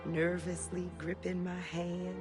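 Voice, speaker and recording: A woman's voice: fairly low pitch, flat delivery, takes it slowly. A woman acting a tender, nostalgic mother in a reflective video game monologue. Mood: wistful, tender. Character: tender, nostalgic mother remembering her little girl. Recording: loud music over voice.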